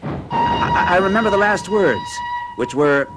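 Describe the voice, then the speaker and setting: A man's voice: a little slow, heavy background noise, exaggerated voice. An actor in his forties playing a nervous accountant of fixed habits, who recounts a strange experience in an earnest, uneasy way. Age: 40s. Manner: earnest, uneasy storytelling with a lively pitch. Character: nervous middle-aged male accountant of rigid habits. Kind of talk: 1970s radio drama acting.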